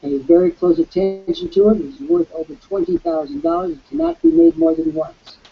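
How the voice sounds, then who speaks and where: A man hosting a live online webcast, with a croaky voice, giving an emphatic, preacherly sales pitch. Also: big pitch swings, moderate pace, lo-fi sound, faint music behind. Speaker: webcast host.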